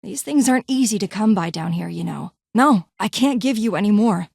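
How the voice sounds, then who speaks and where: A woman playing a curt vault doctor and scientist from a video game. She is haughty and impatient, phrasing things formally in a clipped, condescending way. The voice sits at a middle pitch in a very dry space. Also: animated, a little fast, gravelly, a little mellow, slightly rough, no echo. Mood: dismissive. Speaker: voice actor in a game mod. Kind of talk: scripted game character dialogue.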